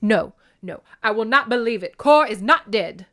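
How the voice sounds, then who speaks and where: A woman's voice: a little high, animated, normal speed, a bit bright. Woman acting a distraught goddess mother, emphatic and dramatic. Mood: defiant.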